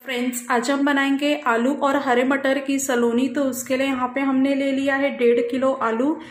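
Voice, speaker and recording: A woman hosting a cooking channel, calmly narrating a recipe step by step in her home kitchen. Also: high voice, normal speed, roomy sound. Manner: calm, instructional, repeats "we will add".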